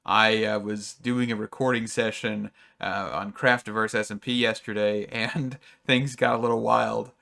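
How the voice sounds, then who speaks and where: A man's voice: lowish voice, fairly even intonation, normal speed, vocal fry, few pauses, sharp tone. A man giving casual let's-play commentary, rambling and thinking aloud with many fillers, his voice a little strained. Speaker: gaming YouTuber.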